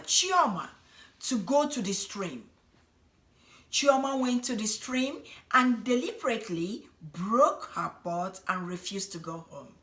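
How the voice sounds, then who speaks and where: A woman telling a folk tale as a storyteller, in a dramatic, expressive manner. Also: medium pitch. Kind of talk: scripted folk tale narration for children.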